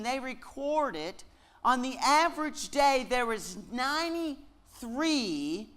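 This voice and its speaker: A Canadian man with a slight Southern twang, giving a joking sermon-style lecture with slow, deliberate emphasis on key words. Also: extremely high pitch, fairly bright tone. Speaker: guest speaker at a Bible lectureship.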